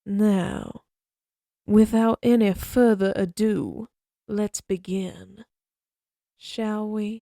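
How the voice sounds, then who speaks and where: A woman's voice: mid-range voice, fairly even intonation, very slow, creaky, pauses often, warm tone, no room sound, studio quality. A woman who creates audio roleplays, giving a friendly spoken introduction that speaks directly to her listeners. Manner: friendly, direct address to the audience.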